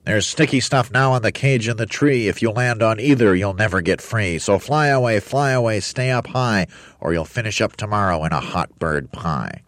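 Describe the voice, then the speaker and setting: A man's voice: on the low side, lively intonation, brisk, few pauses, a little mellow, dry recording, studio quality, pitched above the performer's own voice, close to an everyday voice. A man voicing a boastful, grand male bird in a storybook reading, speaking pompously and chanting rhymes. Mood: sing-song warning.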